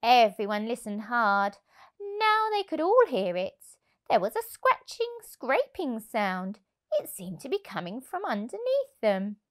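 A woman in her thirties narrating a picture book for young children, expressively and deliberately. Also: on the high side, wildly swooping pitch, fairly bright tone. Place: indoor room, on a sofa. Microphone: lapel mic.

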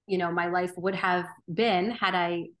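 A woman guest on a podcast, talking earnestly and thoughtfully with frequent pauses and restarts. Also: lowish voice, steady tone. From Romania. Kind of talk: reflective interview conversation.

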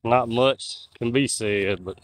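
Guy in his 40s, folksy rambling livestream chat, clear smooth voice, dry close phone sound out in the woods at night. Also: mid-range voice, slow, dry recording. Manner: chatty, folksy, reads out viewer comments. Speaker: outdoors livestreamer. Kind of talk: casual live-stream chat with viewers. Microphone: phone on a handheld gimbal.

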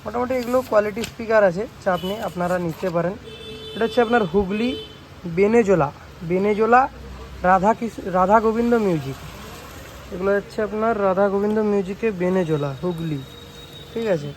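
A man's voice: high voice, a little fast, slight background noise, poor audio. A man giving a casual product demonstration for a vlog, with informal sales patter and frequent check-in tags. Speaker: speaker repair shop owner presenting products. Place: small electronics repair shop.

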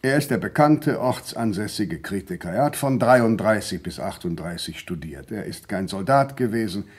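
A man's voice: lowish voice, lively intonation, normal speed, husky. A man playing an insistent narrator in a literary radio play, speaking in clipped statements. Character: insistent male narrator listing a critic's traits.

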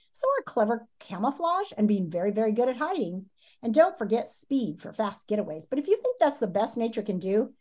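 A woman in her fifties reading a children's nonfiction book aloud in a dramatic, teacherly way with emphatic pauses, on a video call from a room at home. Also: mid-range voice, a little mellow. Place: small home room, indoors. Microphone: video call, computer microphone.

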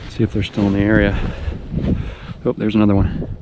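A man in his forties giving casual running commentary while ice fishing outdoors on a frozen pond, his voice jumping up with excitement when fish bite, recorded on a body-worn action camera. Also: lowish voice, fairly quick. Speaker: fishing vlogger. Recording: loud wind noise on the mic.

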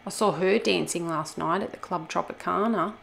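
A woman's voice: lowish voice, normal speed, vocal fry, a bit bright. A woman voicing a lazy, contented female crocodile in a storybook, unbothered and unwilling to move. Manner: unbothered, declining. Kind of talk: storybook character voice in a read-aloud.

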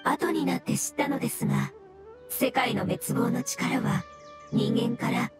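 An actress plays a solemn, learned fungus spirit from a video game, with a high-pitched voice. The creature speaks politely and precisely, in long formal explanations. Music plays prominently behind it. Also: female voice, unhurried, natural voice. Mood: solemn, wistful. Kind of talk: Japanese video game dub acting.

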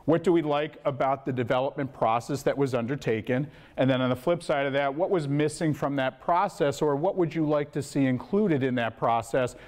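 A man's voice: mid-range voice, a little mellow. A man, a school administrator, directly instructing a board meeting on a small-group discussion in a large library room.

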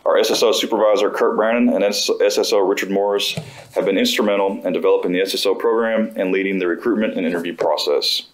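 A man's voice: low voice, steady tone, fast talker, few pauses, low-quality recording. A middle-aged man at a podium in a school board room, reading formal prepared remarks about a new hire. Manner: reads steadily from notes, formal. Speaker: school district safety administrator presenting a hire. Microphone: podium microphone.

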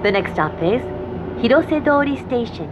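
ゆっくり話す女性の英語車内放送. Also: female voice, a little high, sing-song, lo-fi sound. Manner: deliberate, formal. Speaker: English-language subway announcer. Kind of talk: scripted next-stop announcement. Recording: in-car speaker recording with train noise. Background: running subway train noise.

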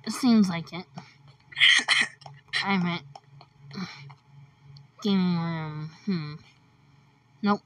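Very slow-talking woman playing a game. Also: fairly low pitch, sharp tone, recorded from a distance, slight background noise, low-quality recording. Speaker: player recording a game video. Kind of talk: casual gameplay roleplay chat.

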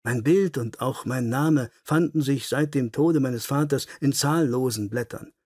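A man's voice: medium pitch, measured pace, darkish tone, husky, dry recording, clean studio sound. A man voicing an earnest, melancholy, gracious English lord in an audiobook, formal, sincere and dignified. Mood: sad, earnest.

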